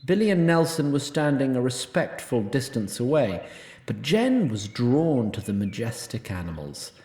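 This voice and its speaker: A male narrator tells a fantasy tale in formal, wry prose. His mid-range voice is very expressive in pitch and carries a roomy echo. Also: normal speed. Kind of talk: scripted storybook-like narration of a fantasy audio drama.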